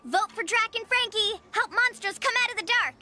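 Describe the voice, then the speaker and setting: Very high, bright teenage monster girl. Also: a little fast, soft background music, exaggerated voice. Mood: rallying. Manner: enthusiastic, theatrical. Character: peppy, eager teenage monster girl running for president. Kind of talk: animated cartoon acting.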